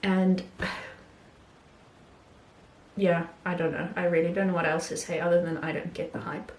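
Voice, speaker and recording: A woman talking casually about films she has watched, pausing often with ums and restarting her sentences. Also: lowish voice, little pitch movement, darkish tone, reverberant, rough recording. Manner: hesitant, many ums and restarts. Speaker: film vlogger. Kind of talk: casual film review talk.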